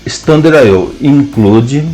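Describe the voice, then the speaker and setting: A man with a Brazilian Portuguese accent teaching a programming lesson, dictating code symbol by symbol with hesitant pauses. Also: medium pitch, dark tone, soft background music, poor audio. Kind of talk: slow screen-cast coding lesson, dictating code aloud.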